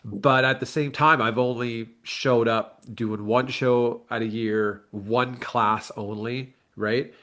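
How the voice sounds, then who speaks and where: A man's voice: medium pitch, fairly even intonation, slow, hardly any pauses, fairly bright tone. Casual, earnest man in his thirties. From Canada. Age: late 30s. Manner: candid, earnest, reflective. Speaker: bodybuilder and online coach, interview guest. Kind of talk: casual interview chat.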